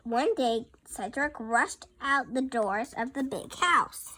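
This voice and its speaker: A young girl reads a storybook aloud in a high voice. Her delivery is very slow and careful, halting over words as she goes. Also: expressive, sharp tone, poor audio. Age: child. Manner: careful, halting word-by-word reading. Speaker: young host reading picture books aloud.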